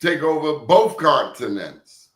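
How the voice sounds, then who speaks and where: A man talks in a casual, animated way and drives his points home with emphatic rhetorical questions. His pitch rises and falls a lot as he tells his stories. The room has a clear echo around him. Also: takes it slowly. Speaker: professor and guest. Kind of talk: casual conversational talk.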